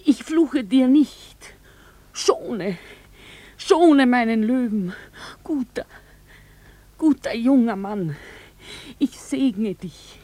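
A solemn, devout mother in a theatrical radio play. She speaks slowly, with an incantatory manner. Her voice is dark, soft and breathy at a medium pitch. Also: animated, dry recording, heavily acted. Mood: pleading, blessing. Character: devout, pleading mother of an animal-keeper family, mystical. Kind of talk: theatrical German radio drama acting.

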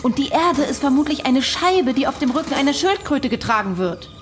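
A woman's voice: sits high, lively intonation, fairly quick, a little hiss or hum. An actress in a German radio drama playing a sardonic female psychiatrist who questions her patient with dry, probing skepticism. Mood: sarcastic.

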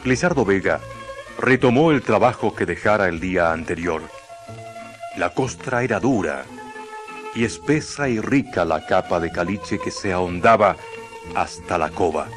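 A man's voice: deep voice, animated, normal speed, soft background music. A man narrating a radio drama in a scripted, literary storytelling style.

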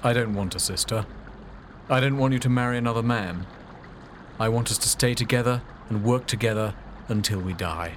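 A man's voice: medium pitch, normal speed, natural voice. A man playing a cold, stern, dutiful man in an audiobook, commanding and insistent, with faint noise behind him. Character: cold, stern, dutiful clergyman demanding marriage.

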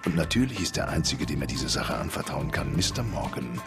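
A shady tipster with an extremely deep voice. He lays things out matter-of-factly in a radio crime play, music behind him. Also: sing-song, a little fast, heavily acted. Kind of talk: German radio crime drama acting.

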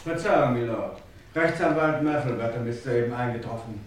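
An actor playing a deferential manservant in a vintage radio play, announcing formally and stiltedly. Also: male voice, medium pitch, normal speed, natural voice. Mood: stiffly deferential. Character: stiff, deferential manservant of a lord. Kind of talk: vintage German crime radio play acting. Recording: very echoey hall, faint noise.

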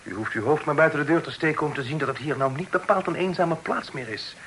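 An actor playing a courteous, forthright young gentleman in a Dutch radio play with formal old-fashioned delivery. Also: fairly high pitch, fast, lightly acted. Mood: warning. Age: young adult. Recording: old radio play recording, background noise.

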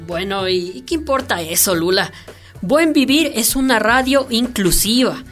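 An actress playing a principled, unflappable community radio coordinator, wry and firm, in a radio drama. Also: female voice, on the high side, lively intonation, unhurried, a bit bright, faint music behind. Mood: welcoming, easygoing.

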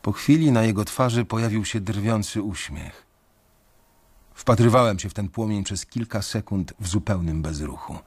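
A man's voice: on the low side, normal speed, slightly rough, no echo. A man narrating a radio drama in the first person, tense and brooding. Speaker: narrator and lead character.

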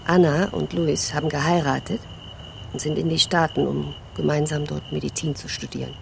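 A woman's voice: low voice, steady tone, normal speed, gravelly, slight background noise. An actress playing a frank, wry, teasing woman confiding in an old friend in a German radio drama.

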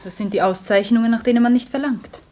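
An actress in a German radio play playing a fearful, watchful neighbour woman, husky and often close to a whisper. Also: a little high, fast talker, a little mellow, close to an everyday voice. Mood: guarded.

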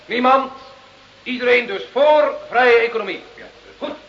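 A man acting in a Dutch radio play as a brisk, persuasive village smugglers' ringleader with a rallying, decisive manner. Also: extremely high pitch. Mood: rousing, jovial. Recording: muffled, echoey old radio drama recording.

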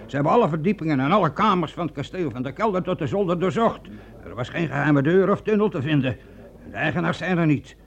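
A creaky-voiced, frightened veteran soldier. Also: male voice, on the high side, animated, fast talker. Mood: earnest, insistent. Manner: stammering, emphatic, repeats himself. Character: frightened veteran soldier, an archer, insisting on devilry. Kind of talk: Dutch radio play acting. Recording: dull lo-fi old radio recording.

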